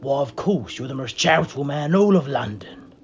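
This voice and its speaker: A man's voice: mid-range voice, expressive, fairly quick, highs cut off, close to an everyday voice. An actor playing a chirpy, cheekily blunt Victorian tradesman in a radio play. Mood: smug.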